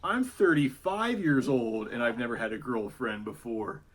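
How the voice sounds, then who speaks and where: A man's voice: mid-range voice, lively intonation, moderate pace. Hesitant self-mocking man in his thirties. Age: 30s. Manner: self-deprecating, hesitant with many "uh"s. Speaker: YouTuber. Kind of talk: casual vlog monologue.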